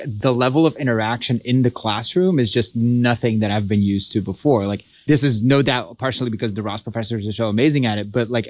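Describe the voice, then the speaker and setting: A man's voice: medium pitch, no echo. A man from Belgium co-hosting a panel, talking in an enthusiastic, chatty way. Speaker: co-host. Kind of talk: panel discussion.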